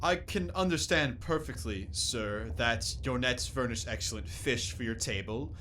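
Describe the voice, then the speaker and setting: An actor playing a polite, inquisitive professor in a radio play, speaking in a formal, questioning manner. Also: male voice, medium pitch, a little slow, sharp tone. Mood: puzzled, inquisitive. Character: polite, inquisitive male professor, a guest aboard. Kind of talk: theatrical radio play acting. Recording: clear background noise, live stage recording.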